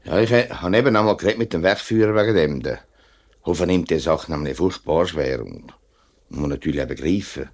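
A man's voice: sits low, brisk. A man playing an earnest, respectful middle-aged union workman with a Swiss German accent in a 1950s radio play. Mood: earnest pleading.

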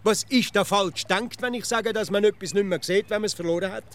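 A pedantic Swiss provincial philosopher in a comic radio play. The voice sits on the high side for a man. He nags and questions insistently, laying out nonsense as if it were strict logic. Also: fast talker, a bit bright. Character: pedantic, nagging provincial everyday philosopher, male, absurdly logical.

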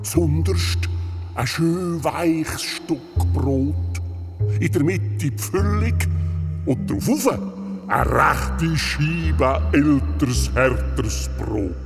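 An actor playing a friendly, mischievous giant in a children's radio play, with a deliberate, comforting manner. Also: male voice, on the high side, expressive, full character voice. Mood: calm, reassuring. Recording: long reverb, music behind the voice.

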